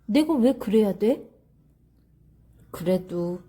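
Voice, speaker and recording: A woman's voice: medium pitch, expressive, slow pace, dark tone, husky, pitched below the performer's own voice. A woman voicing a greedy, grumpy man brooding to himself in a children's storybook. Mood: hesitant, brooding.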